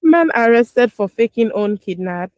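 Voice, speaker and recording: A Nigerian woman co-hosting a radio newspaper review, reading headlines briskly and adding short remarks. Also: a little high, animated, darkish tone, no room sound, clean studio sound. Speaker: radio news review co-host. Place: radio studio.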